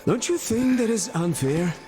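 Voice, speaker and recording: Earnest physician, video game drama, measured admonishing tone, slightly high pitch. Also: male voice, animated. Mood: reproachful. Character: reproachful male doctor, calm and earnest.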